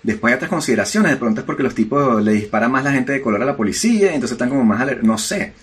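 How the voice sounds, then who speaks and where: A man's voice: mid-range voice, animated, rapid-fire. Venezuelan man arguing his point emphatically. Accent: Venezuelan Spanish. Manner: argumentative, emphatic, frequent filler words. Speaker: podcast co-host. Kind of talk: casual discussion.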